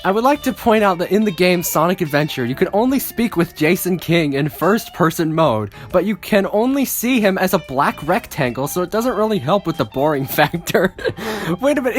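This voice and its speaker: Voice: mid-range voice, sing-song, fairly quick. A speaker reading a script aloud in a casual, amused way, breaking into laughter and bantering along the way. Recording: background music under the voice.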